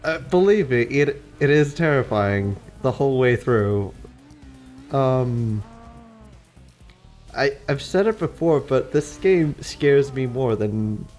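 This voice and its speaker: A man's voice: medium pitch, expressive, takes it slowly, a little mellow, soft background music. A man giving casual, conversational commentary over video game play. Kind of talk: casual gameplay commentary chat.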